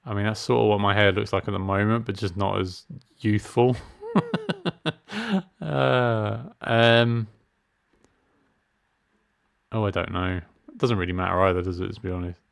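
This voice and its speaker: A man's voice: fairly low pitch, fairly even intonation, measured pace, gravelly, pauses often, clear, resonant tone. A middle-aged man, chatting casually and self-mockingly while reading game menus aloud. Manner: chatty, self-deprecating, reads menu options aloud. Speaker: gaming streamer. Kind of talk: casual let's-play commentary.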